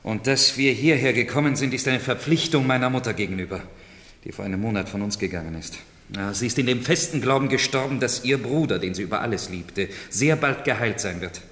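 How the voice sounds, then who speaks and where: A man plays a troubled young nobleman in a 1970s radio play. He speaks at a middle pitch with grave, pleading courtesy. The space around him is strongly reverberant. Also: brisk, fairly bright tone, close to an everyday voice. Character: earnest, troubled young Italian marquis.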